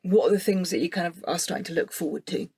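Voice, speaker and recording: A woman's voice: fairly low pitch, quick pace, warm tone, husky. A woman interviewing someone in a casual, supportive conversational style.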